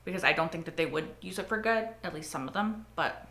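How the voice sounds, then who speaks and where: A woman's voice: lowish voice, expressive, fast, fairly bright tone. A woman hosting a reaction video, making chatty, reflective asides. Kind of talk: casual reaction commentary. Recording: echoey room.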